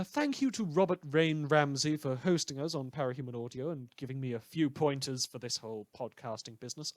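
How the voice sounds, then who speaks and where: A man's voice: medium pitch, no echo. A man hosting a podcast, informally reading out credits and thanks in a chatty, self-deprecating way. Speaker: podcast host and writer. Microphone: voice recorder.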